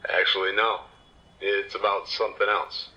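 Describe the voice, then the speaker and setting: A man's voice: lowish voice, flat delivery, moderate pace, sharp tone. A man acting as a businesslike, casually persuasive police detective in an audio drama, heard through a telephone filter. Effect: telephone call filter. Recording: band-limited phone-call sound.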